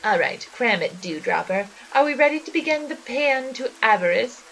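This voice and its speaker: A woman's voice: a little high, lively intonation, normal speed, creaky, a bit bright, slight background noise, rough recording. A woman voicing a scheming flapper cult priestess who gloats in theatrical 1920s slang, in an audio drama. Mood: gloating.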